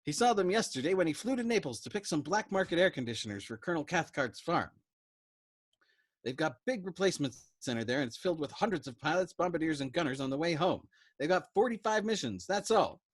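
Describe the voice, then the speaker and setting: Man putting on a frantic, high, overwrought airman. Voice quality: rough, quavering. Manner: loud, frantic, fails at whispering. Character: overwrought, pugnacious young male airman plotting murder.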